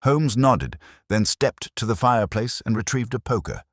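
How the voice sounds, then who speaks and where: A man's voice: medium pitch, lively intonation, moderate pace, no echo, studio quality. A man reading scripted mystery fiction as a dramatic audiobook narrator.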